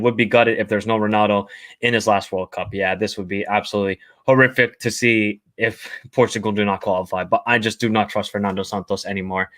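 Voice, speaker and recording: A man's voice: on the low side, a bit bright. A young man with an American accent hosting a casual football livestream, reading viewer comments and giving blunt opinions, often repeating himself. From half American, half Finnish, living in Finland. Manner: chatty, opinionated, repeats phrases.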